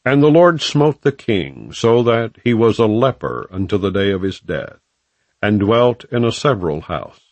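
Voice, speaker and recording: A low male voice reads scripture as an audiobook narrator. His delivery is solemn and formal, with measured phrasing and a lively rise and fall in pitch. The sound is dry and close, free of room echo.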